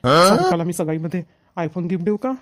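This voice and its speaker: An eager young cartoon bridegroom played by a man speaks rapidly with a Vidarbha Marathi accent. He is an earnest, musing, dutiful son. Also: high-pitched, expressive, a bit bright. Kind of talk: amateur cartoon dialogue.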